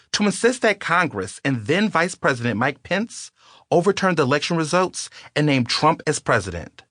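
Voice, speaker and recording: A man narrates his own nonfiction audiobook, his pitch rising and falling as he leans into his points. The studio sound is dry and close, with no room echo. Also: a bit bright.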